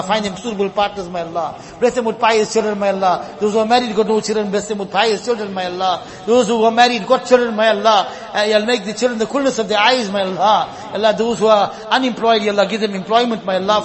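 A man with a strikingly high voice leads a tearful congregational supplication. He pleads, climbing and then sinking low on each repeated refrain. His words ring through a large reverberant mosque hall. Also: a bit bright. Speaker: imam leading supplication. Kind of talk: emotional congregational du'a.

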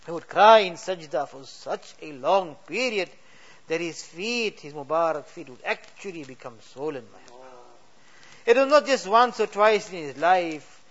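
A man's voice: high-pitched, expressive, normal speed. Pleading male voice in supplication. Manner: pleading, rising cries that fall to soft trailing endings; mixes English with Urdu and Arabic words. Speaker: imam leading a prayer. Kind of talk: emotional supplication. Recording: dull lo-fi recording.

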